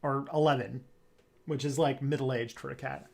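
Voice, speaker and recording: A man's voice: mid-range voice, steady tone, normal speed, vocal fry, a little mellow. A man hosting a livestream in casual, joking chat full of fillers. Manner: chatty, filler-heavy, joking. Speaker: livestream host.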